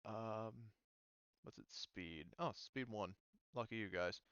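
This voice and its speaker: A man acting as game master, casually refereeing a tabletop game and thinking aloud in a halting way. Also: lowish voice, a bit bright, clear, resonant tone, no echo, lo-fi sound.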